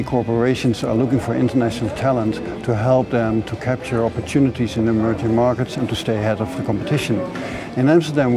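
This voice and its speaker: A man speaks a prepared welcome address in a measured, formal way. His voice sits at a middle pitch, and clear background music runs under every word. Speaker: program director.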